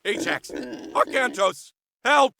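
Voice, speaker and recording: An actor playing a cowardly, panicking soldier crying out in a video game. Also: male voice, extremely high pitch, expressive, slow, vocal fry, full character voice. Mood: panicked. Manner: shrieking, panicked.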